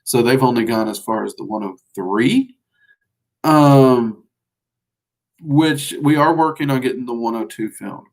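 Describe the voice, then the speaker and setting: Southern US man, casual livestream host, chatty and folksy, middle pitch. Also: unhurried, creaky, rough recording. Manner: rambling and folksy, frequent fillers. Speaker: livestream host teaching magic. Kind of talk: casual livestream chat, answering viewers.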